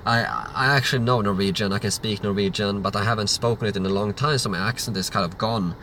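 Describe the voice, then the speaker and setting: A man's voice: lowish voice, fairly even intonation, quick pace, bright, forceful tone, a little hiss or hum. A man, hosting a livestream Q&A and answering chat questions in a loose, rambling way. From Sweden. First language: Swedish. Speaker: livestream host.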